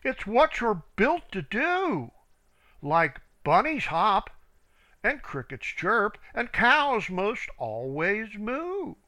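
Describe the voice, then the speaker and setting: A fussy, scandalized mother duck, as a male storyteller puts her on. Her voice rises high and swoops with indignation, slow and emphatic, with a bright edge. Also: very animated, exaggerated voice.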